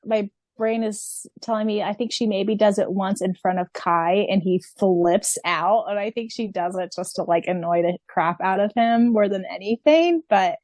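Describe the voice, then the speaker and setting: A woman with a mid-pitched voice talks casually as a podcast co-host. She muses conversationally, and her voice sounds dry, with very little room around it. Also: moderate pace, hardly any pauses, darkish tone, no echo. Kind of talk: casual chat.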